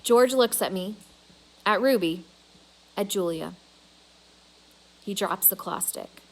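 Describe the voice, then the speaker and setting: A woman's voice: medium pitch, slow pace, slight background noise, poor audio. A woman reading a children's novel aloud as a storyteller.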